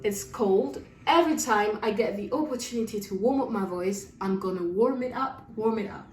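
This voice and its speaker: A young adult woman teaching a singing lesson in a relaxed, encouraging way, checking in with her students and demonstrating warm-up exercises. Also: medium pitch, normal speed, faint music behind. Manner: demonstrates lip trills and sung syllables. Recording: echoey room, voice a little far from the mic.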